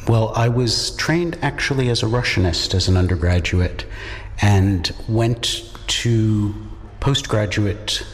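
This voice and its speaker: A man in his fifties, a professor being interviewed, answering in a measured, reflective way with occasional hesitations. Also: lowish voice. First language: English. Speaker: interviewed professor of Czech linguistics. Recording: long hall reverb.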